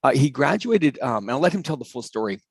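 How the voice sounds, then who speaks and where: A man's voice: medium pitch, sing-song, fast, gravelly, husky, no room sound. A man running a live online coding class, talking casually and enthusiastically with lots of fillers and restarts. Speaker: coding course instructor. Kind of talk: casual live class over video call.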